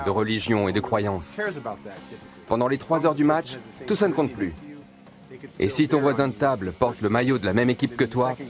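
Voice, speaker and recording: Man talking over guitar music. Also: mid-range voice. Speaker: interviewee. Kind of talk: interview testimony, dubbed voice-over.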